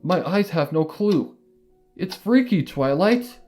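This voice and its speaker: A nervous little baby dragon, as a male narrator reads him. His halting, shaken speech keeps trailing off, set against a faint bed of music. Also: high-pitched, animated, a little mellow, voice pushed up, heavily acted. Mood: exasperated. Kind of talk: audiobook reading of fan fiction.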